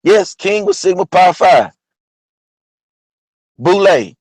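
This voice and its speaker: Man, hoarse, casual history monologue, slightly high voice, dry sound. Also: lively intonation, slow pace, darkish tone, dry recording. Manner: conversational, asks "you know what I'm saying?". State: partly lost voice. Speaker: online show host.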